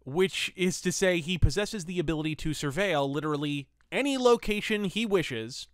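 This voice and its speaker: A man's voice: a little high, animated, moderate pace, clear, resonant tone, no room sound, clean studio sound. A man hosting a review podcast, with theatrical, wordy and wry semi-scripted commentary.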